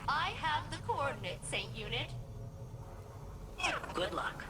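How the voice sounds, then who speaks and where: A woman playing a helpful, quirky ship AI in a video game, with brisk, informal quips. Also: fairly high pitch, very animated, very noisy. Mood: helpful, wry. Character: female ship AI, helpful, quirky, chipper operator. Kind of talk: video game radio-dispatch dialogue.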